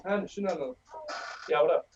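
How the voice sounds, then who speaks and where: A man's voice: on the high side, moderate pace, background noise. A man telling crude stories and teasing his friends in a rowdy casual chat. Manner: crude, joking, storytelling. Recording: dull lo-fi mic.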